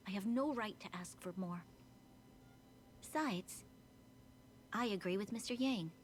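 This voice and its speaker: A woman voicing a dignified, earnest young female leader in a video game, speaking in a formal, measured, solemn way. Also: medium pitch, a little hiss or hum, lightly acted.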